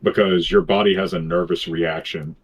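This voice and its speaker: A man's voice: sits low, steady tone, moderate pace, crowd noise. A man co-hosting a casual podcast, crude and swearing, explaining things with lots of "like". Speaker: podcast co-host.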